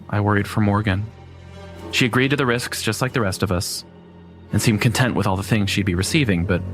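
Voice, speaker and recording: A man narrating a scripted first-person horror story. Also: on the low side.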